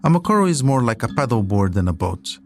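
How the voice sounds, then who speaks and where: A man's voice: mid-range voice, very animated, quick pace. A man hosting a podcast, reading scripted documentary narration as a storyteller. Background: music.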